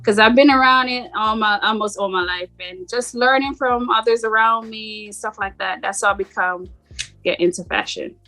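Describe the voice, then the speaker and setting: A middle-aged woman with a slight Jamaican accent, talking about herself in a relaxed, rambling way as an interview guest. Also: medium pitch, moderate pace, soft background music, poor audio. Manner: relaxed, rambling, frequent "like" fillers. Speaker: boutique owner guest. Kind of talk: casual interview chat.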